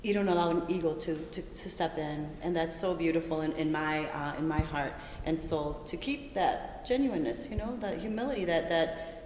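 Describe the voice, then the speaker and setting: A woman's voice: sits low, normal speed, a little mellow. A woman co-hosting a talk show, speaking with enthusiastic, heartfelt admiration for her guest. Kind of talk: casual interview talk. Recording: echoey room with long reverb, faint noise.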